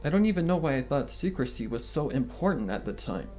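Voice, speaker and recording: A frightened pony read by a man confesses in a shaky, halting, dramatic narration. The pitch sits in an ordinary male middle, and music plays underneath the horror story. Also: very animated, mellow, lightly acted, poor audio. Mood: guilty, haunted. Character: frightened, guilt-ridden young female pony narrator. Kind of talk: dramatic first-person horror fan fiction reading.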